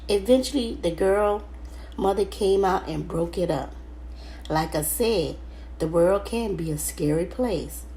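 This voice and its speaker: Slow-paced woman reading a children's storybook. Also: mid-range voice, mellow, a little hiss or hum, rough recording. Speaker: children's book read-aloud reader. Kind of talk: storybook read-aloud narration, first person.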